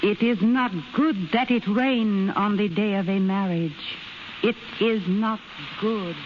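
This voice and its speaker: An actress playing a dour, dutiful Japanese maidservant who speaks terse broken English, in 1930s radio drama style. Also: female voice, medium pitch, steady tone, slow, natural voice. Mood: gloomy. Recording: noisy, muffled, crackling 1930s radio broadcast. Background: crackling noise.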